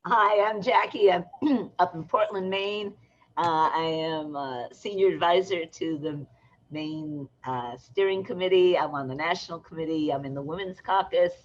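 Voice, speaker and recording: A woman introducing herself on a video call, warm and a little wistful. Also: on the low side, moderate pace, lo-fi sound. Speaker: party senior advisor.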